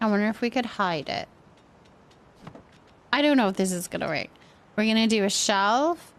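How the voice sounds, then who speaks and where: A woman's voice: mid-range voice, expressive, normal speed. A woman chatting casually as she hosts a game livestream, thinking aloud and reacting to viewers. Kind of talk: casual chat while playing a building game, reacting to viewers.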